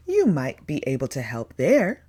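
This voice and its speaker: A woman voicing a big, jolly, kindly old Santa in a picture book, in a booming, hearty manner. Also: wildly swooping pitch, measured pace, mellow, full character voice.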